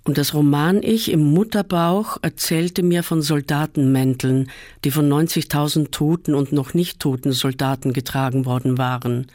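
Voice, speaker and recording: A man reading a first-person literary essay aloud in a measured, calm way, often slowing down. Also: a little high, dry recording, studio quality. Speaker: reader.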